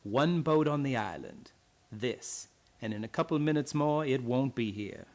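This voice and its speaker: An actor playing a bitter old servant in a radio drama, speaking in deliberate, folksy, menacing phrases. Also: male voice, mid-range voice, lively intonation, darkish tone. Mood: bitter, gloating. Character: bitter old servant boatman, gloating with lifelong hatred.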